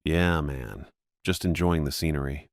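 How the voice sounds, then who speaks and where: A man's voice: extremely low pitch, measured pace, no echo, clean studio sound. A man voicing an easygoing, observant male coworker with a casual, laid-back manner in a horror story.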